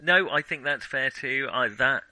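Bright, crisp-edged man in casual film chat. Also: mid-range voice, no echo. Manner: halting, backtracking, thinking aloud. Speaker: podcast co-host.

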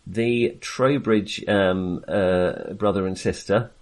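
A man's voice: low-pitched, steady tone, takes it slowly. A man chatting casually and enthusiastically about films as a podcast co-host, rambling and hesitating as he goes. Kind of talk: casual, chatty film discussion.

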